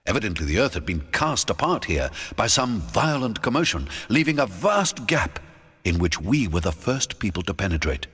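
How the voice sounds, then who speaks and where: A man narrating a scripted first-person adventure audiobook in a dramatic, measured storytelling manner. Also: lowish voice, big pitch swings, fairly bright tone, slightly rough. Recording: long hall-like reverb.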